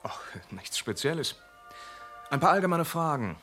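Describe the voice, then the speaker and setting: An actor playing a methodical police inspector who calmly and patiently questions witnesses in a radio drama. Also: male voice, on the high side, normal speed, soft background music.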